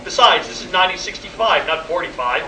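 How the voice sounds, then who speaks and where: Guy doing a placating military buddy in a radio play, bright forceful voice, roomy recording, a bit distant. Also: high voice, lively intonation, fast, exaggerated voice. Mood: exasperated. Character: skeptical, placating male military colleague of a pilot. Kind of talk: live radio play acting. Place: convention hall stage, live performance. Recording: echoey distant live hall recording, faint noise.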